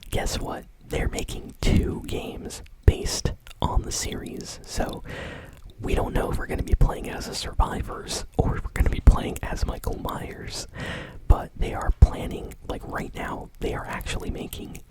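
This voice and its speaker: A woman hosting gaming news in ASMR style, whispering in a casual, conversational manner. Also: a little slow. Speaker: host of a gaming news show.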